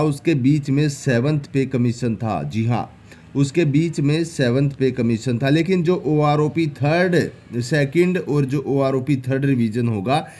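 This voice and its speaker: A man's voice: mid-range voice, warm tone. A man hosting an explanatory news update, speaking emphatically and repeating key phrases. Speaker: host of pension update channel. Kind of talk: explanatory news commentary over slides.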